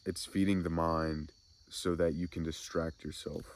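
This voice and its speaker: A man hosting a soft-spoken spiritual ASMR talk, congested and nasal from a cold, musing slowly and reflectively. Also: level tone. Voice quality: deep, gravelly. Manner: slow, meandering reflective musing. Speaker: ASMR spiritual channel host.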